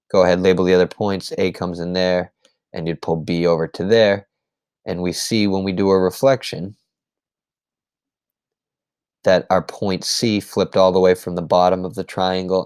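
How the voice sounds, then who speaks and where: A man teaching a math lesson recap, explaining step by step in a patient way, his voice slightly hoarse. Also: deep voice, a little mellow, no room sound, clean studio sound. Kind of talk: screen-recorded lesson recap.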